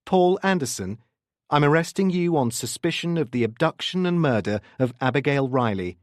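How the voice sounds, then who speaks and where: A narrator voicing a firm, authoritative male police detective sergeant with a brisk, commanding manner. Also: medium pitch, animated, dry recording, clean studio sound, voice pushed up, close to an everyday voice. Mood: stern.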